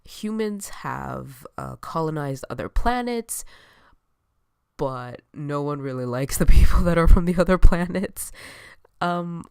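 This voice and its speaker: A book vlogger with a stuffy nose from a cold, casually reviewing a novel in a rambling, hesitant way with lots of fillers and clear enthusiasm. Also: medium pitch, expressive, a little slow, darkish tone, no echo, clean studio sound.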